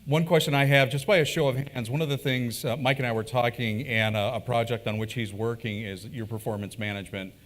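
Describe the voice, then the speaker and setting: A man co-presenting at a conference, answering audience questions in an animated way and often repeating words as he thinks. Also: mid-range voice, fairly quick, few pauses.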